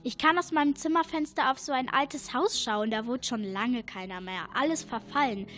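A woman's voice: high voice, moderate pace, fairly bright tone, soft background music. A young female performer playing an impatient, easily exasperated schoolgirl in a children's radio play. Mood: annoyed, curious.

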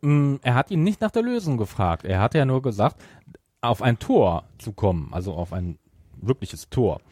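A man co-hosting a podcast, casually explaining and gently correcting his fellow hosts. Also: medium pitch, sing-song, moderate pace, slightly rough, no room sound, studio quality. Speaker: podcast co-host. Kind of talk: casual chat, discussing an audio drama.

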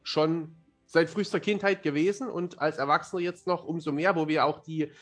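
A man's voice: on the high side, steady tone, fairly quick, faint music behind. A man, talking at length in a casual interview and explaining things as he goes. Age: 30s. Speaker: fantasy author, podcast guest.

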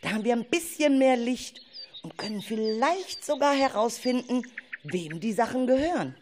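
A woman acting in a children's radio play as a caring, cautious leader of schoolchildren in the forest, with a deliberate, expressive delivery. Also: on the high side, a little hiss or hum, close to an everyday voice. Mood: puzzled, serious.

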